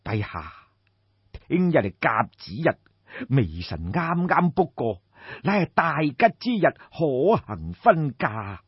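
A man voicing a grave, shrewd old royal minister in an audiobook, giving formal, deferential counsel in measured, deliberate phrases. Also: mid-range voice, sing-song, slightly rough, no room sound, dull audio, exaggerated voice.

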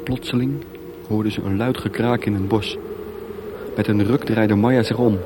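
A man's voice: fairly low pitch, steady tone, moderate pace, background noise. A man narrating a radio play as scripted, dramatic storytelling. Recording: music bed behind. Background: music.

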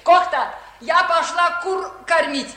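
An actress playing a kindly, folksy elderly village woman in a 1950s radio comedy, full of drawn-out exclamations. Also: very high voice, fairly bright tone, full character voice. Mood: proud, insistent. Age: older.

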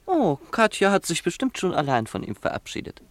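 An actor playing a cheeky young brother in a German radio play, playful and mocking. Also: male voice, high-pitched, wildly swooping pitch, fairly quick, no echo, full character voice. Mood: teasing.

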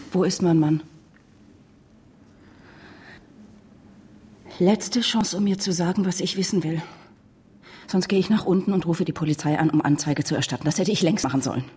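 A woman's voice: deep voice, dark tone, breathy edge. An actress in a radio drama playing a tense, defiant wife of a missing man, speaking in a controlled, insistent way. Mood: cold, threatening. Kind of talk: radio drama acting with inner monologue narration. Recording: studio radio play with music underneath.

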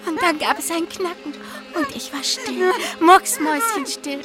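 Eerie, high-voiced ghostly little sister. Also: female voice, lively intonation, unhurried, music in the background. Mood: accusing. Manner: probing, taunting, insistent. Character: dead little sister, eerily calm, insistent and accusing. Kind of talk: radio drama acting.

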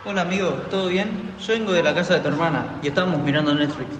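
Teen boy as an easygoing anime hero pal, slightly high voice, amateur radio play, hall reverb. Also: fast, a bit bright, close to an everyday voice. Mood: friendly, teasing. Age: teenager. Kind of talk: amateur school radio drama. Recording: echoey hall with background noise.

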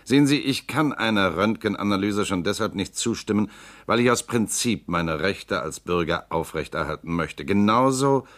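An actor playing a composed, precise lawyer and political candidate in a radio drama, speaking calmly and politely. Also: male voice, on the low side, moderate pace. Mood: cool. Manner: calm, precise, coolly polite. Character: suave composed male lawyer-politician suspected robot. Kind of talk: 1960s-70s radio drama acting.

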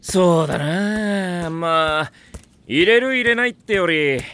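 A man's voice: sits high, animated, slow, bright, forceful tone, dry recording, full character voice. A man playing a wry, teasing teenage team captain in an anime audio drama.

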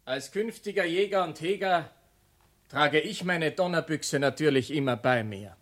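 A man's voice: a little high, lively intonation, moderate pace, fairly bright tone. A young man playing a clever, self-assured student in a satirical radio drama, with theatrical delivery. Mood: cocky, menacing. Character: clever young male student, calmly cocky schemer.

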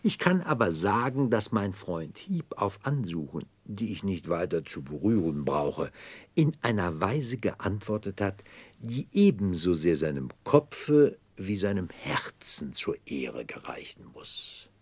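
An actor in a German radio play playing a pompous, grandiloquent gentleman clerk with a florid, declamatory way of talking. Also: low-pitched, big pitch swings, unhurried, husky, dry recording, full character voice. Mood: dignified, florid. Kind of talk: 1960s German radio drama acting.

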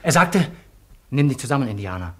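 Stubborn soldier, husky and insistent. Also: male voice, mid-range voice, big pitch swings, brisk. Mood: anguished, insistent. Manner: insistent, earnest, declaiming. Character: stubborn, frightened male soldier insisting on the truth. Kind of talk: German radio drama acting.

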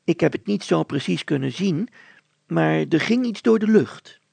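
A man voicing a thoughtful, earnest village grocer in a children's story, speaking deliberately. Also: on the high side, lively intonation, creaky, a little mellow, slightly rough, no room sound, pitched above the performer's own voice, full character voice.

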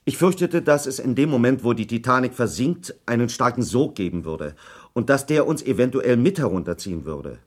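An actor in a German radio documentary drama playing a defensive British ship's officer testifying at an inquiry, answering firmly, formally and in clipped phrases with some hesitation. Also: male voice, medium pitch, lively intonation, a little fast, close to an everyday voice. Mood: defensive, insistent.

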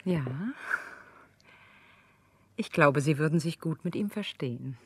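An actress playing a proud, warm young woman in a radio drama, speaking frankly and with spirit. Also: low voice, a little slow, slightly rough, full character voice. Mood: cool, gentle. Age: young adult. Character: proud, warm young woman Alda, old man's daughter.

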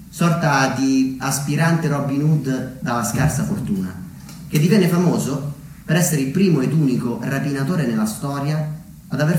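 Guy telling a story on stage, highish voice, measured w dramatic pauses, super echoey and a bit far from mic. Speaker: theatre monologist, storyteller. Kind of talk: scripted theatrical narration. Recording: very echoey room, distant mic, slight noise.

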